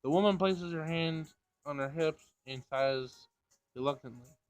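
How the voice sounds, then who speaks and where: A man's voice: a little high, unhurried, clean tone. A man reading visual novel narration aloud, sometimes stumbling over the text and rereading it.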